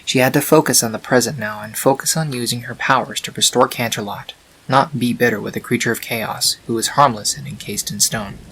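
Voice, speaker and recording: A man narrating a scripted fan-fiction story in a measured, dramatic storytelling manner. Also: mid-range voice, pushed and bright, rough recording. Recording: faint hiss on home recording.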